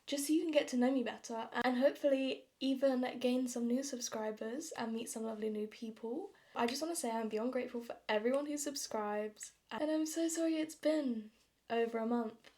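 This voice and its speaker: A woman's voice: on the high side, brisk, dark tone. A nineteen-year-old woman with a southern English accent chatting casually and self-deprecatingly to viewers in a vlog. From London, England. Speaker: vlogger. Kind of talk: casual get-ready-with-me chat to viewers.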